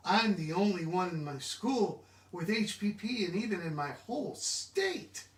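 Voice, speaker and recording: A man giving an animated, expressive children's storybook read-aloud, engaging his listeners directly. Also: sits high, a little slow. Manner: expressive, interactive, asks listeners questions. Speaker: children's book reader. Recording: echoey room, distant mic.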